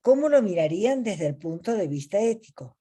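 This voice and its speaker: A woman from Argentina with an Argentine accent, leading an online coaching ethics class in a deliberate teaching manner. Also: mid-range voice, big pitch swings, warm tone, breathy edge. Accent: Argentine Spanish. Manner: slow, deliberate teaching with pauses.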